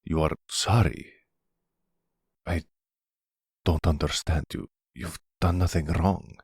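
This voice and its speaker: A man playing a timid, wounded young mobster son with a Russian accent, speaking haltingly and formally in an ASMR roleplay drama. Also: sits low, steady tone, husky, no room sound, clean studio sound, exaggerated voice. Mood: subdued, hurt. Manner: halting, formal phrasing without contractions.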